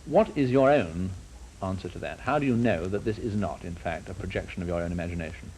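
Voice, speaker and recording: Measured low-voiced male interviewer, noisy old broadcast. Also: a little mellow. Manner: measured, deliberate, probing. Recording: noisy 1950s broadcast recording.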